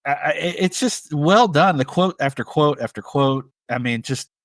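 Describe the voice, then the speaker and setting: A man co-hosting a casual film podcast, speaking in a hesitant, halting but appreciative way. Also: fairly high pitch, animated, fairly bright tone, no echo, studio quality. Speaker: podcast co-host. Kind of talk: casual movie discussion podcast.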